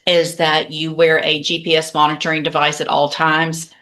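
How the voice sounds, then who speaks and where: A woman judge giving a ruling in a courtroom, speaking in a firm, deliberate way. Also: deep voice, completely flat delivery, sharp tone.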